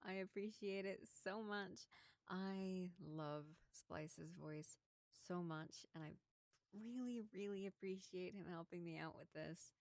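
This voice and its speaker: A woman voice actor chatting informally and quietly to her listeners, in a casual sign-off style. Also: on the low side, slow pace, dry recording. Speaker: voice actor and channel creator. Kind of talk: casual outro chat.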